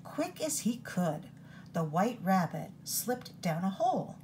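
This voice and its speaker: Woman, storytime read-aloud, expressive, very slow, lively pitch, phone recording. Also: slight background noise. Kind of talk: reading a picture book aloud.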